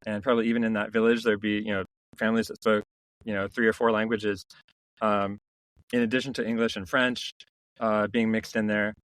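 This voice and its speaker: A middle-aged man, a company founder, telling stories in a relaxed interview, reflective and full of restarts. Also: on the low side, a little fast, no echo, rough recording. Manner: reflective, many restarts and "you know" fillers. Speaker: founder and CEO, podcast guest. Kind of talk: casual interview storytelling.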